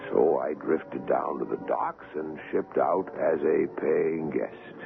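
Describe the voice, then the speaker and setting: An actor playing an older doctor who narrates his memories in a measured way for a radio drama. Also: a bass voice, vocal fry, heavily acted. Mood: reflective, measured. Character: older male doctor, reminiscing, measured storyteller. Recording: tinny old radio broadcast with music.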